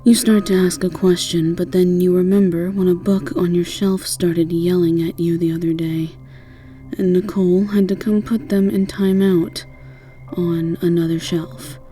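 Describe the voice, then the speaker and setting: A woman's voice: fairly low pitch, little pitch movement, measured pace, mellow, soft background music. A woman narrating a scripted fantasy roleplay in the second person, with a wry storyteller's delivery. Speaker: narrator and voice actor.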